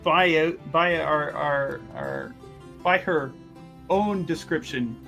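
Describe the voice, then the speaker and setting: Slow-talking, higher-pitched man at a game. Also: wildly swooping pitch, vocal fry, bright, forceful tone, faint music behind. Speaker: tabletop game player. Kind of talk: casual in-game narration.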